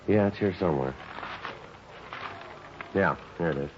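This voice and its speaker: A man's voice: sits low, unhurried, background noise. An American man acting a stoic police detective in a 1950s radio drama, speaking and narrating in a terse, matter-of-fact way. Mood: dry, businesslike. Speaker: narrator and lead actor. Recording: dull vintage 1950s radio recording.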